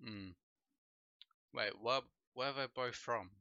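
A man's voice: mid-range voice, slow, dry recording. A young man from Poland, whose first language is Polish, chatting loosely on his livestream and padding his talk with "like" and "bro". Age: young adult. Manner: relaxed, rambling, heavy with fillers like "like" and "bro". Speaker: streamer and podcast host. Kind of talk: casual chat on a livestream. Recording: dull lo-fi home mic sound.

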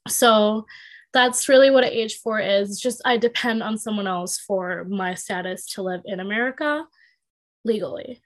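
A woman's voice: mid-range voice, moderate pace. A woman of twenty, a podcast guest, explaining her situation in a chatty way full of "like" fillers. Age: 20s. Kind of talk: casual chat.

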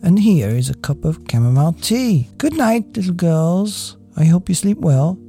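A woman playing a high-pitched, caring storybook schoolmistress. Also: lively intonation, normal speed, soft background music. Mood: gently firm. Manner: gentle, pleading, firm. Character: kindly, anxious schoolmistress Miss Clavell, firm with little girls. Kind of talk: children's storybook character voice.

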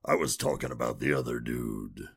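A man playing a gruff, sarcastic, skeptical adventurer in an audio drama, with a dry, sardonic way of talking. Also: very deep voice, normal speed, a bit bright, exaggerated voice. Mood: sardonic, wary. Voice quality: gravelly, husky.

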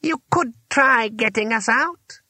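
Man putting on a haughty old wizard schoolmaster, pitched up. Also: bright, forceful tone, no echo, full character voice. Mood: stiff. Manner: haughty, halting when embarrassed.

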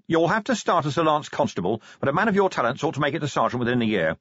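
A tough, scornful watch commander barks his orders, pitched higher than the reader's own husky tone, as a male narrator puts him on. His delivery swings lively and blunt. The sound is dry and a little dull, like a close audiobook mic. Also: racing, dry recording, heavily acted. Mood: brisk. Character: gruff tough middle-aged male city watch commander. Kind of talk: audiobook character voice.

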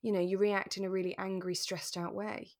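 A woman co-hosting a podcast, talking through a radio drama in a relaxed, thoughtful, explanatory way. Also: medium pitch, brisk. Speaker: podcast co-host.

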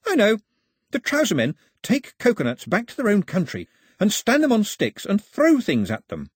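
A man acts out a cheerful, talkative young male islander from a storybook. The voice jumps high and swings about eagerly, explaining everything with emphasis, recorded close and dry. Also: huge pitch swings, normal speed, breathy edge, dry recording, full character voice. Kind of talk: storybook character dialogue.

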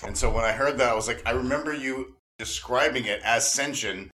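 Gushing, excitable man chatting casually. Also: medium pitch, fairly quick, rough recording. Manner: gushing, effusive fan enthusiasm; slangy, repeats himself. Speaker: podcast host interviewing a guest.

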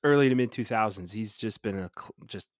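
A man's voice: on the low side, fairly quick, no room sound, studio quality. An American man co-hosting a casual sports podcast, chatting with a profane, teasing edge. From Chicago, United States.

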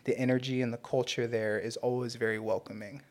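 A man's voice: medium pitch, flat delivery, fairly quick, a little mellow. Informal American man co-hosting a podcast. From Southern California, USA. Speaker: podcast co-host. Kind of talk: casual interview chat.

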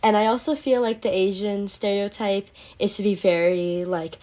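A woman's voice: mid-range voice, fairly even intonation, moderate pace, warm tone. A teenage girl hosting a casual podcast chat, talking in a chatty way with many fillers and frequent laughs. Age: teens. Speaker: podcast host.

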